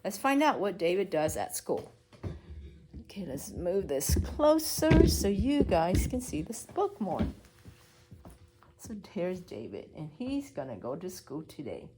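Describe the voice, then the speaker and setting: A woman's voice: on the low side, very animated, measured pace, noisy background. A woman preschool teacher reading a story aloud to young children, explaining as she goes and asking simple questions.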